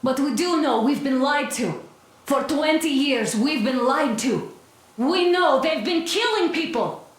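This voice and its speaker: A woman's voice: sits high, lively intonation, a little slow, very echoey. A woman acting a defiant, idealistic young soldier in a video game, direct and challenging. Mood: defiant, hopeful.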